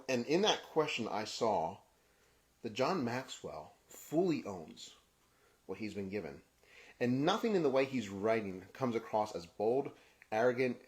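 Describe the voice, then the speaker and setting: Brief: man raised in Kenya, low voice, reflective solo talk, halting restarts, soft trailing endings. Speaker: podcast host. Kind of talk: personal reflective monologue.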